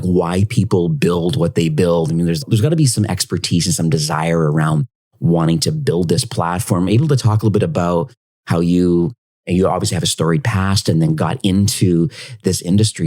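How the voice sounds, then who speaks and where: A man's voice: sits low, a little mellow, no echo. A man hosting a casual podcast interview, asking friendly, rambling questions. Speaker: podcast host.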